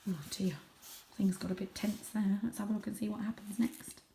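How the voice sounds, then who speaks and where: A woman reading a children's story aloud with expressive narration, recorded in an echoey room. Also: medium pitch, normal speed, vocal fry, recorded from a distance, a little hiss or hum. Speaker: storybook reader.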